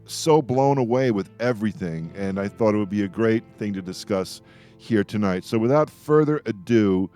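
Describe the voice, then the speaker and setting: A man's voice: fairly low pitch, expressive, moderate pace, warm tone. Older guy hosting a radio roundtable, rambles and jokes, bit of music behind. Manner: jokey, rambling. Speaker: radio show host. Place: radio show with remote guests.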